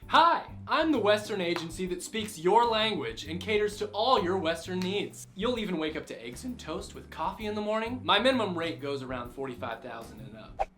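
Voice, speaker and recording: A man acting as a slick Western tour salesman in an online comedy sketch. The voice is high and bright, swinging animatedly as it makes an upbeat pitch. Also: big pitch swings, a little fast, full character voice. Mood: salesy, eager. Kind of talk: comedy sketch in an educational video.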